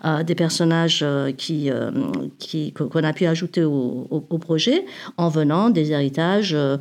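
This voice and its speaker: Hesitant academic woman, lowish voice. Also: a little slow, a little mellow. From Iran. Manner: hesitant, many fillers and restarts. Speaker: university researcher. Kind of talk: academic interview answer.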